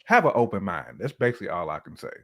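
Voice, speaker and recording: A man's voice: low-pitched, big pitch swings, quick pace. A man giving his opinions in casual, colloquial conversation, often acting out imagined dialogue as he goes. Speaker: podcast guest or co-host.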